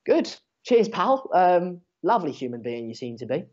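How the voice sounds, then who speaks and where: A man's voice: high voice, very animated, normal speed, a little mellow. A seventeen-year-old English man, a native Dutch speaker, chatting casually and sarcastically on a football watchalong stream in slangy, matey, sweary language. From England. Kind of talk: casual live match commentary and chat with viewers. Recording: dull lo-fi stream mic.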